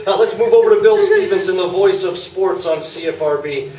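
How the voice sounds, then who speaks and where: A man's voice: high-pitched, huge pitch swings, brisk, a little mellow, recorded from a distance. A man playing a polite, slightly hesitant press conference moderator in a live stage radio play. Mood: polite, brisk. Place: small theatre, live performance. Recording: echoey, faintly noisy theatre recording from afar.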